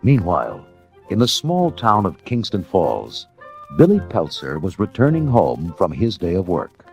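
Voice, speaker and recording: A man narrating a scripted storybook as a dramatic, measured storyteller. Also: low-pitched, wildly swooping pitch, vocal fry. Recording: music under the narration. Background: music.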